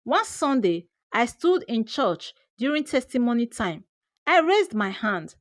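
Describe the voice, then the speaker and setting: A woman with a Nigerian accent telling a scripted first-person story in an expressive, theatrical way. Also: a little high, unhurried, no echo, clean studio sound. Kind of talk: dramatic first-person scripted folktale narration.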